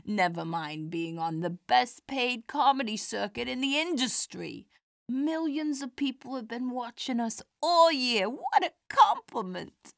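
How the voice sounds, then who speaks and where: A woman's voice: a little high, sing-song, unhurried, gravelly, no echo, clean studio sound, full character voice. Woman acting a genteel elderly comedienne, closely recorded. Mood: gentle, wry. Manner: genteel, gently chiding, folksy turns of phrase. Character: kindly, proud elderly widowed comedienne, quick with quips. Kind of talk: audio drama acting.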